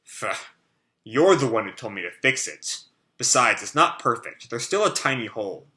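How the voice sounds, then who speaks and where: A man's voice: mid-range voice, sing-song, moderate pace, bright, forceful tone. A man reading a guarded troubled teen boy.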